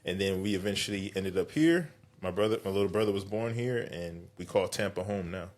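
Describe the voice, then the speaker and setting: A man's voice: low voice, brisk, darkish tone. A man in his mid-thirties, a podcast guest, chatting casually in a playful, teasing way. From United States. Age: 30s. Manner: playful, teasing, laughs easily. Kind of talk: casual chat.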